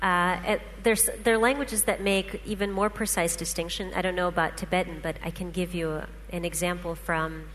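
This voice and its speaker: Woman, native Russian speaker, lowish voice, patient explainer, long hall reverb, faint hum. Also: steady tone, fairly quick, few pauses, fairly bright tone. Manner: patient, example-driven explaining. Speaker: speaker presenting research. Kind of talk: explanatory talk to a panel.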